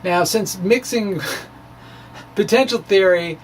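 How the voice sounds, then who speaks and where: A man's voice: high-pitched, slow, slight background noise. A man informally presenting his own science blog, wry and playful, often hesitating mid-phrase. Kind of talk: dramatic reading of a physics blog, informal explaining.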